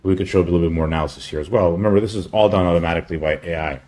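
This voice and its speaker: A man giving a casual screen-share product update as a company chief, in a halting, rambling way with offhand asides. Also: low-pitched, warm tone.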